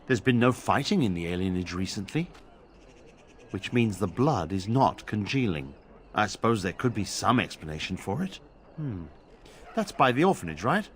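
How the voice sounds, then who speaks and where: An actor playing a grave, concerned official investigator in a fantasy game, measured and pondering. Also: male voice, lowish voice.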